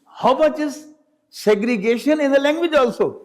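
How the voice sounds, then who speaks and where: A male Arabic teacher, not a native English speaker, giving a classroom lecture slowly and deliberately, repeating points and checking understanding. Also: very high-pitched. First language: not English; Urdu is his second language.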